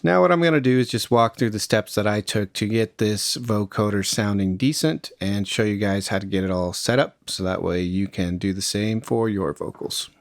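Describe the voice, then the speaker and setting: Low-voiced man giving a casual software tutorial. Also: normal speed, few pauses, dry recording, studio quality, faint music behind. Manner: informal, conversational instruction. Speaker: music production tutorial presenter.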